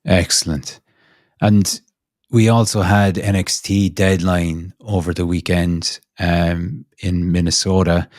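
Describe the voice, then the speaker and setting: Male podcast host, very low voice, friendly interviewer, dry close sound. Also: steady tone, slow, darkish tone, no echo. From Ireland. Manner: friendly, asks questions to lead the talk. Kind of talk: casual chat.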